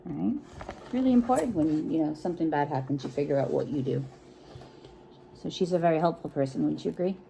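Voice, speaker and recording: A woman reading a picture book aloud to children in an expressive, encouraging way, with chatty asides and frequent check-in questions. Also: low voice, brisk, creaky, a little hiss or hum, poor audio. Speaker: children's storytime reader.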